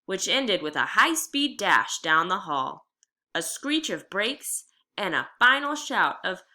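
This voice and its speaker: A woman teacher giving a read-aloud lesson, explaining deliberately and reading expressively. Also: medium pitch, big pitch swings, pushed and bright.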